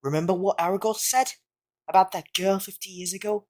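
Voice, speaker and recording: A woman voices an earnest boy wizard in an audio play. The voice sits in a middle register, thoughtful and deliberate, reasoning things out with conviction. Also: medium pitch, steady tone, darkish tone, lightly acted. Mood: urgent realisation. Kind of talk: fan audio drama acting.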